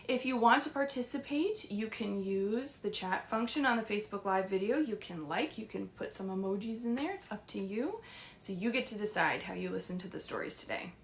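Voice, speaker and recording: A woman's voice: mid-range voice, slight background noise. Woman hosting children's story time, echoey room. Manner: gentle, reassuring, simple explanations addressed to small children. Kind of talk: read-aloud story time for young children. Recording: roomy, echoey livestream sound.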